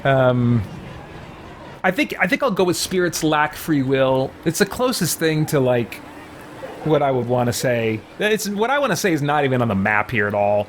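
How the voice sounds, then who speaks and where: A man's voice: medium pitch, very animated, normal speed, a little hiss or hum. A man hosting a casual roleplay let's play, thinking aloud hesitantly as he comments. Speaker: gaming channel host.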